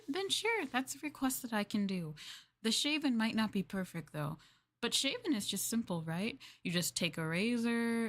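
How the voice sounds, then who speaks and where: A woman livestreamer in casual roleplay chat, playing a polite, chatty maid and often dropping into soft, breathy near-whispers. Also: medium pitch. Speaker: VTuber livestream host.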